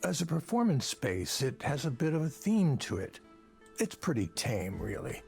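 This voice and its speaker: Casting: self-assured older executive in a visual novel, suggestive and persuasive, with creaky, animated delivery. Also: male voice, medium pitch, normal speed, soft background music. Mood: suggestive, sly. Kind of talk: visual novel game voice acting.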